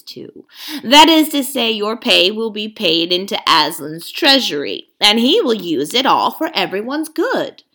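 A woman's voice: medium pitch, fairly bright tone, full character voice. A woman reading aloud, voicing a scheming, domineering male ape who snarls and hisses at the other animals. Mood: snarling.

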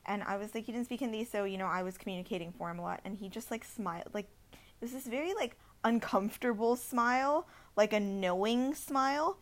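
A young woman from India co-hosts a podcast and tells a story in a casual, animated way, full of "like" fillers. Her voice sits in a middle pitch and her speech runs on freely. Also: a little fast. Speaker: podcast co-host. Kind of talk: casual chat.

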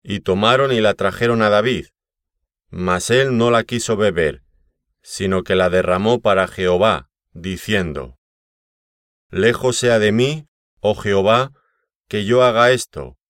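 A man reading scripture aloud as scripted narration, in a solemn, measured way with deliberate phrasing. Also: fairly low pitch, fairly bright tone, no room sound, studio quality. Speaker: Bible reader.

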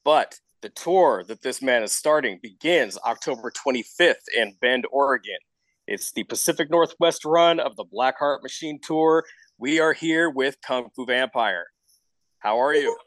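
A man's voice: mid-range voice, expressive, a little slow, a bit bright, no room sound, poor audio. An American man hosting a podcast, casually promoting events and chatting with guests. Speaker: podcast host.

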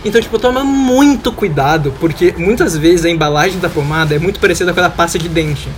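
A man's voice: fairly high pitch, fast, faint music behind. A young man with a Brazilian accent chatting casually on a podcast in a rambling, slangy way. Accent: Brazilian Portuguese. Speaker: podcast panelist. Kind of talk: casual chat.

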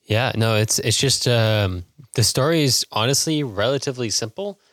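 A man's voice: mid-range voice, normal speed, a bit bright, dry recording, studio quality. A man co-hosting a podcast in casual film discussion. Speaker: podcast host.

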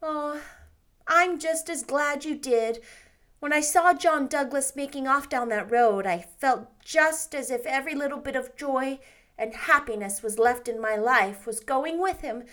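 A woman reading a story voices a meek, resigned spinster, her voice sitting high yet soft with hesitation. She speaks wistfully, as if unsure she has a right to want anything. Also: a little slow. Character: timid, resigned middle-aged spinster.

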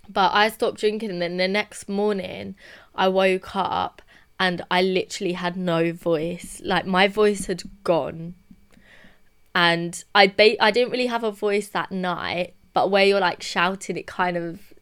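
A woman's voice: fairly low pitch, vocal fry, fairly bright tone, clean tone. A young woman, a podcast co-host, chatting casually and telling a story with lots of "like" fillers. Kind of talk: casual chat.

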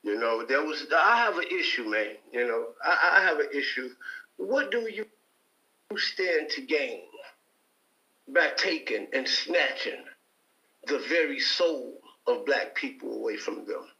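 A man's voice: mid-range voice, animated, measured pace, bright, forceful tone, reverberant, dull audio. A man from Detroit giving an emphatic, preacher-like testimony in a heated debate. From Detroit, Michigan. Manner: emphatic, preacher-like, repeats for stress. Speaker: panel guest.

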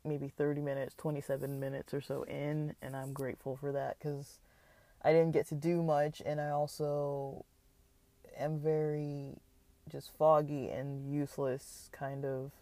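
Stuffy, rambling vlogger, a bit raspy. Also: mid-range voice, flat delivery, unhurried, dark tone, dry recording. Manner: rambling, hesitant, many fillers; candid and reflective. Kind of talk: casual personal vlog talk.

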